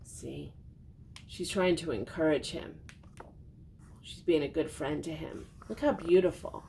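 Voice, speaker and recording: An older woman reading a children's storybook aloud expressively, pausing for gentle, simply worded explanations. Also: vocal fry. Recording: noticeable background noise with low rumble.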